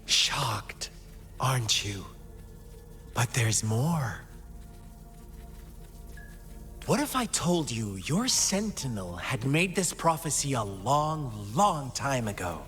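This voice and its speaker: An actor playing a sinister, mocking cult overseer in a video game, speaking in a theatrical, smugly courteous way. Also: male voice, medium pitch, sing-song, slow pace, a bit bright, in a large hall, soft background music. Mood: gloating. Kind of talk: dramatic video game voice acting.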